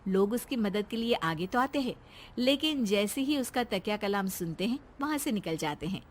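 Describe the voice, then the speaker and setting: A woman's voice: mid-range voice, animated, normal speed. A woman narrating a scripted cartoon story as its storyteller.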